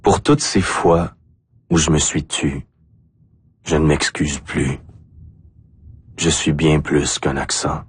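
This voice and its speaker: Deep, husky Acadian man reciting poetry. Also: fairly even intonation. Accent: Acadian French. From Acadia, New Brunswick, Canada. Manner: deliberate, short phrases with pauses. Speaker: poet. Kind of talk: spoken-word poetry recital.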